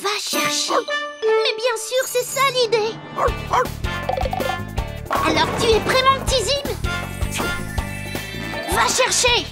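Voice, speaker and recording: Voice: very high-pitched, lively intonation, slow pace, long pauses, over loud music, full character voice. A performer voicing a cheerful, eager young wooden puppet boy in a children's cartoon, exclaiming earnestly in a childlike way. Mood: eager, determined. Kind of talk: animated children's cartoon dialogue.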